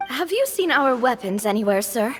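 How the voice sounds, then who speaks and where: A woman's voice: a little high, very animated, moderate pace, big-room sound, background noise. An actress playing a bold, cheerful young female adventurer who speaks in a breezy, confident way.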